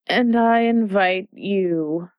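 A woman's voice: mid-range voice, very slow, no echo, muffled recording. A woman voicing a feeble, fretful queen in a storybook reading, moaning and plaintive.

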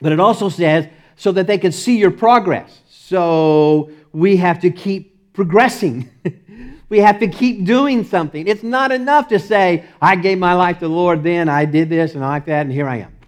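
A man with a Texas accent preaching a sermon as the pastor, emphatic and repetitive, often breaking into short laughs and swinging between loud and soft. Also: high-pitched, normal speed. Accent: Texan.

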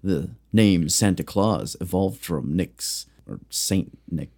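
A man with a very low, mellow voice chats casually as a co-host. He rambles through facts with lots of ums and restarts, keeping a jokey tone. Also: animated, slow, vocal fry. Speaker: podcast co-host. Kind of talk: casual chat.

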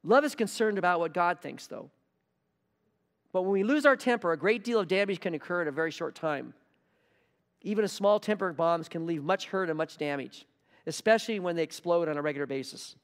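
An earnest male pastor preaches, leaning on key words and repeating them for emphasis. His voice sits a little high and trails a long reverb through a church hall. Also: expressive, a little fast. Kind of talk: sermon.

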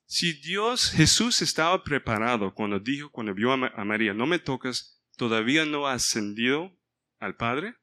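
Bright-voiced man leading a Bible study. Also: medium pitch, lively intonation, normal speed. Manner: mixes in English words, stops to ask the group for help. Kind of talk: informal Bible study sermon.

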